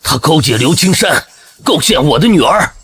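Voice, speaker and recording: Bitter angry dad out for revenge, high for a man, voice swings all over, menacing drama delivery. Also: very animated, normal speed, faint music behind. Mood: bitter defiance. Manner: forceful, menacing, bitter outbursts. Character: vengeful, grief-stricken father holding his enemy hostage. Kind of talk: dramatic audio drama acting.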